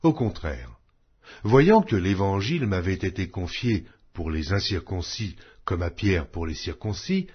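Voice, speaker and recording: Solemn male Bible reader, husky, lively pitch. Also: muffled recording. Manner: measured, solemn reading with wide pitch swings. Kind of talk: scripted scripture reading.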